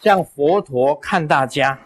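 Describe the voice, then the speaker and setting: A man's voice: fairly high pitch, slow pace, crowd noise. A man in his sixties, a Buddhist master giving a dharma talk, telling jokes and stories in short phrases. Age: 60s. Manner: lighthearted, storytelling in short phrases.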